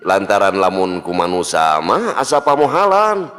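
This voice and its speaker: Very deep Sundanese male storyteller, heavy reverb. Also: lively intonation, normal speed, fairly bright tone. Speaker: folk-tale storyteller. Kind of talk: dramatic storytelling narration. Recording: long hall reverb with music. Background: music.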